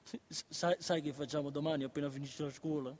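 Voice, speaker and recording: A man's voice: a little high, steady tone, fast, a little mellow, slight background noise, natural voice. An actor playing a simple, childlike man of nearly twenty in a radio play, with a Neapolitan accent, stammering and insistently repeating his words. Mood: eager. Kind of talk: naturalistic radio drama acting.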